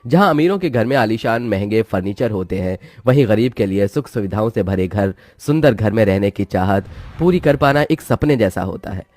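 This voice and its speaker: Low-voiced man narrating a moral story. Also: lively intonation, normal speed, hardly any pauses, darkish tone, dry recording, studio quality. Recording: music behind the voice.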